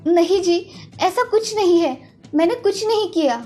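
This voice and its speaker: An anxious young daughter-in-law from a moral tale, played by a woman. She is meek and deferential, with a very high voice. Quiet music runs underneath. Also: normal speed. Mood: distressed. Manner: pleading, deferential.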